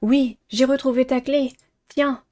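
A woman's voice: sits high, steady tone, a little slow, a little mellow. A woman voicing an eager, inquisitive teenage schoolgirl heroine in a dating game. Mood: earnest.

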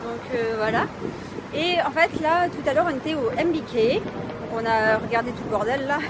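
A young woman with a high voice talks to her camera held at arm's length while walking outdoors. She rambles casually, full of fillers. Heavy traffic noise and a loud crowd fill the background. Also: moderate pace. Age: young adult. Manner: chatty, rambling with many fillers. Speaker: vlogger. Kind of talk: casual travel vlog. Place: busy city street outdoors. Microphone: handheld camera at arm's length. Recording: noisy street, loud crowd.

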